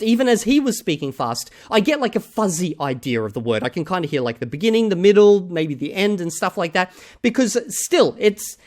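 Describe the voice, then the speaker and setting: Man, reaction host, skeptical and emphatic, casual rant with loud bursts. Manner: skeptical, emphatic, swings between loud outbursts and low mutters.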